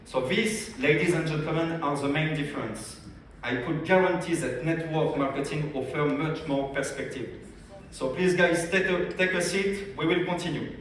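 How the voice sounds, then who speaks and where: A French-accented man speaks to a convention crowd with energy and keeps putting questions to the room. His voice sits a little high and carries a heavy hall echo. He sounds some distance from the mic, with faint room noise underneath. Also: moderate pace. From France. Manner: energetic, addresses audience directly with questions. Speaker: business development director. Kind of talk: convention training talk.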